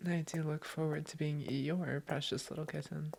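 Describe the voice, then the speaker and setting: A woman playing a doting, gently teasing girlfriend in an intimate roleplay audio, affectionate and reassuring. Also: sits low, fairly even intonation, moderate pace, creaky, a little hiss or hum. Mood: tender.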